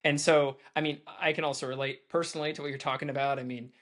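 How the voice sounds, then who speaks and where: Fast-talking enthusiastic man host. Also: on the high side, fairly even intonation, a bit bright. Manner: chatty, enthusiastic, many fillers. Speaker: podcast host. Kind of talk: podcast interview.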